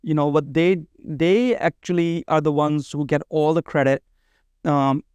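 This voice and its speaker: A man's voice: fairly high pitch, fairly even intonation, measured pace, a little mellow, clean tone, no echo. A man talking casually as an interview guest, earnest and often repeating his phrases. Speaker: podcast guest, bridal expo organizer.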